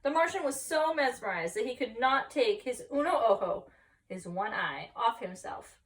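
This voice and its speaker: A woman reading a picture book aloud expressively, pausing to explain and ask children questions. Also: fairly high pitch, recorded from a distance, poor audio. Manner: animated, pauses to explain and question. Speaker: teacher reading at school story time. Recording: voice some way from the mic.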